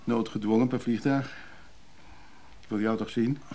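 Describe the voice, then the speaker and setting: A man's voice: mid-range voice, fairly even intonation, unhurried, mellow, natural voice. Actor playing a glib, sardonic man, noisy recording. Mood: concerned, wry. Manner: sardonic, teasing, casual. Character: glib, needling antique dealer, sardonic brother-in-law. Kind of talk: naturalistic Dutch radio drama acting. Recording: noisy old radio drama recording.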